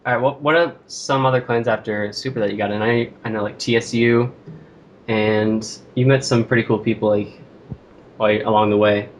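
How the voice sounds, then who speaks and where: A man's voice: fairly low pitch, steady tone, moderate pace, a little hiss or hum. A teenage boy hosting a casual interview, asking friendly questions with frequent "um"s.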